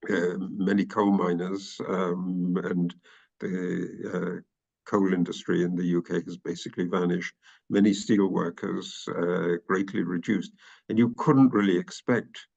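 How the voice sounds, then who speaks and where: A man's voice: sits low, creaky, a little mellow, dull audio. A British man from the UK as an interview guest, explaining at length with frequent fillers and restarts. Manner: hesitant, many restarts and fillers. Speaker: guest expert. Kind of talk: podcast interview.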